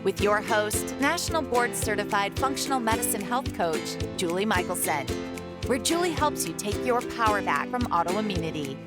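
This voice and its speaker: A woman's voice: mid-range voice, animated, normal speed. A woman announcer reading a scripted podcast opening in a polished, upbeat way. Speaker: show announcer. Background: guitar music.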